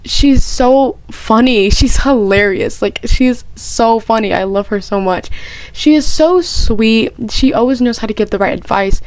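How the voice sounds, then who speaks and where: A woman chatting casually as a vlogger, gushing and excitable, rambling with frequent restarts and lots of "like". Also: a little high, a little slow, no echo, low-quality recording. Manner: chatty, heavy use of "like". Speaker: vlogger answering personal questions. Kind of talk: casual chat.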